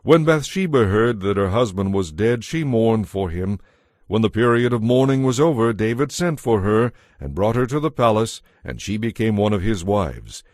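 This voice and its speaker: A man narrating a dramatized audio Bible from a script, in a measured storytelling manner. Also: on the low side, lively intonation, a little mellow, no room sound, studio quality.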